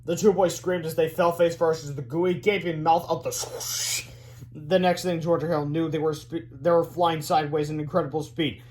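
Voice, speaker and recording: Slightly high-voiced man reading a children's story. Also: level tone, moderate pace, darkish tone. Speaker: read-aloud reader. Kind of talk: expressive children's story narration.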